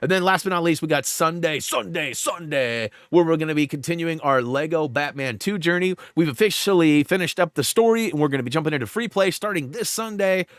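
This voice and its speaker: A man's voice: a little high, lively intonation, brisk, hardly any pauses, a bit bright, clear, resonant tone, no room sound, clean studio sound. Rambling man hosting a livestream. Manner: rambling, filler-heavy, lots of "like". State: stuffed up. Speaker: livestream host. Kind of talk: casual livestream chat.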